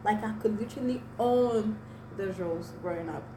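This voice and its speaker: A woman's voice: mid-range voice, normal speed. A young woman whose first language is Igbo, chatting to viewers as she tells a personal story with many fillers. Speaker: vlogger. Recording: echoey room, mic at a distance, noisy.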